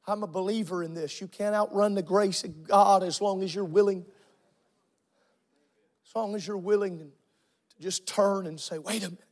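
A male pastor preaching and praying with fervour, repeating phrases, out of breath and swinging from shouts to low murmurs. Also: fairly even intonation, normal speed, long pauses. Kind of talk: Pentecostal preaching and prayer. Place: church sanctuary with congregation.

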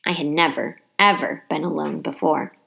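A woman reading a children's storybook aloud with dramatic, animated delivery and emphasized words. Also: sits low, moderate pace, creaky, poor audio. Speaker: teacher reading a book aloud. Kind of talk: expressive children's storybook narration.

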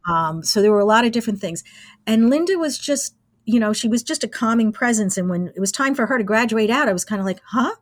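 A woman's voice: fairly high pitch, expressive, fast, no echo, studio quality. A woman in her sixties reminiscing casually as an interview guest. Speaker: interviewee, radio station alumna.

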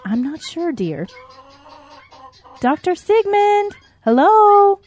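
Woman as a high, fretful mother cow. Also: very animated, takes it slowly, a little mellow, exaggerated voice. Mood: concerned. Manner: exclaiming, motherly. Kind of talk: audio drama acting.

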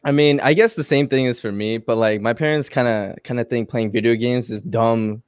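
A young man chatting casually, slangy and halting, leaning heavily on the word like. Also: mid-range voice, fairly even intonation, smooth. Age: young adult. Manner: slangy, halting, heavy use of like. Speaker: participant in a group call. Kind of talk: casual chat.

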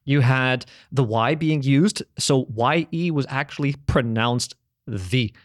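A man's voice: medium pitch, takes it slowly, dry recording, studio quality. A man in casual conversation, enthusiastically explaining language history with lots of restarts and the odd curse. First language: English, grew up also speaking French at home. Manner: rambling and enthusiastic, many restarts, casual swearing.

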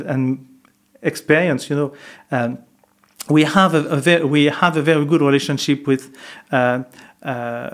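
French-accented man, hesitant storyteller. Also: on the high side. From France. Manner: hesitant, many fillers and restarts. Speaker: monk. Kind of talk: reflective interview storytelling.

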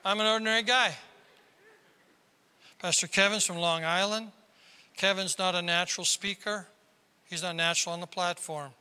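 A man from South Dakota preaching a sermon as pastor, with a squeaky tenor voice and emphatic delivery that climbs into sudden loud bursts. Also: fairly even intonation, moderate pace, long pauses, smooth. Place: large church hall. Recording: reverberant hall.

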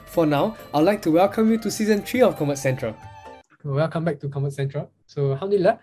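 A man's voice: a little high, fast, warm tone, soft background music. A man hosting a podcast, introducing the show and his guest in a friendly way with some filler words. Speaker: podcast host.

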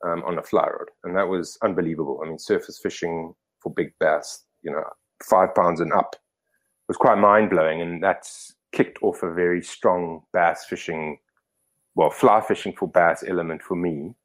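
Low-voiced man from Zimbabwe, rambling. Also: steady tone, normal speed. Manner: rambling, self-correcting, lots of fillers. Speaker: podcast guest. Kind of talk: casual storytelling interview.

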